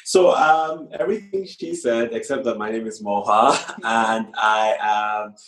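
A man's voice: mid-range voice, unhurried, fairly bright tone, reverberant. A man from Nigeria working as a stylist, talking hesitantly with many fillers as a podcast guest. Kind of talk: casual podcast chat.

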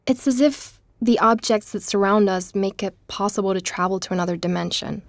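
A woman playing an investigator who narrates her own research in a measured, reflective audio-drama style. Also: medium pitch, no room sound, clean studio sound, close to an everyday voice. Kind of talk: scripted first-person audio drama narration.

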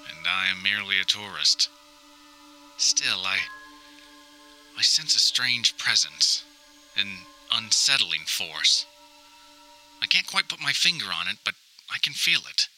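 A man's voice: lowish voice, bright, forceful tone, poor audio. A man playing an anxious, wry grown son recording a wordy, mock-dramatic audio diary. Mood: wary, ominous. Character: anxious, wry grown son lost in hoarder mother's house. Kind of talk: scripted comic audio diary monologue.

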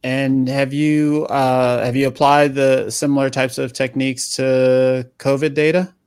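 A man's voice: medium pitch, flat delivery, a little slow, a little mellow, clean tone, no room sound. Male podcast host, dry sound. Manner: conversational, hesitant questions with restarts. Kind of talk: interview podcast.

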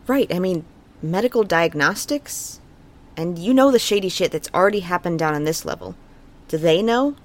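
A woman's voice: fairly low pitch, animated, moderate pace. A woman playing an uneasy, suspicious crew member in an audio drama, with a blunt, questioning manner. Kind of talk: scripted audio drama acting.

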